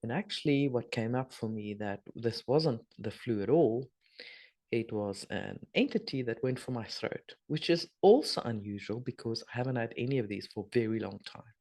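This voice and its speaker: A middle-aged woman, hoarse and husky from a sore throat, chatting casually as host of a spiritual video update with rambling, trailing asides. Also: very deep voice, normal speed, darkish tone. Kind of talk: casual spoken energy update.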